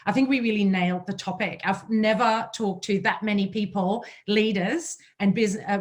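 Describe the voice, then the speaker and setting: A woman in her forties from Germany with a German accent, presenting a webinar as a leadership coach, enthusiastic and fluent. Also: medium pitch.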